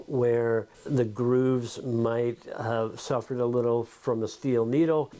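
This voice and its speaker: A man's voice: mid-range voice, warm tone. A man hosting a video, talking informally to viewers in an explanatory, conversational tutorial style. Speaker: video host.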